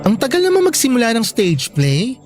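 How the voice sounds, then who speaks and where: Woman playing a lively, effeminate young male friend. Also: on the high side, moderate pace, faint music behind. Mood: giddy. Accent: Filipino. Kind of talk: Filipino radio drama acting.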